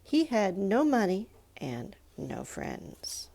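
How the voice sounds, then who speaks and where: A woman reading a children's picture book aloud in a measured, expressive storytelling manner, with pauses. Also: medium pitch, vocal fry. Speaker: storybook reader.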